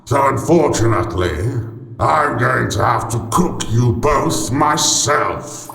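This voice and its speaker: An actor playing a hungry, menacing villain giant in a theatrical radio pantomime. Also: male voice, mid-range voice, expressive, creaky, a bit bright, reverberant, heavily acted. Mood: smugly menacing. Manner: theatrical, menacing, drawn-out delivery.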